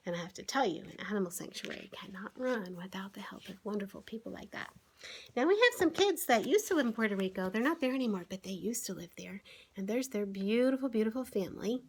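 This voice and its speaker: A woman's voice: mid-range voice, slightly rough. A woman hosting story time for children, talking through a picture book and often putting simple questions and exclamations to her young listeners. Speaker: children's author and online story-time host.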